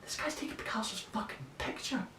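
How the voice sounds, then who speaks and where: A nineteen-year-old man playing a sweary, awestruck young lad, telling a story in a halting, conversational stage monologue. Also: sharp tone, lightly acted. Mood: hushed awe. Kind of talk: naturalistic contemporary stage monologue for an audition. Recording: echoey room, distant mic, noisy rumble.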